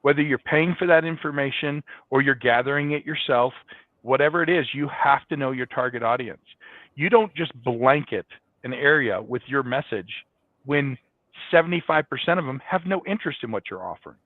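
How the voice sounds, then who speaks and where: A man's voice: mid-range voice, fairly quick, no room sound. A man giving conversational, explanatory answers as a guest in a business interview. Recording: dull, band-limited, dry sound.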